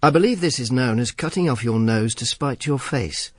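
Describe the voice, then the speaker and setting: A man acts out a wry Roman informer in a radio drama, with a slightly rough-edged voice. He is sardonic and stubborn, swinging expressively between dry narration and prickly, jealous dialogue. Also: mid-range voice, normal speed, no echo, close to an everyday voice. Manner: sardonic, deadpan. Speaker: first-person narrator and lead character.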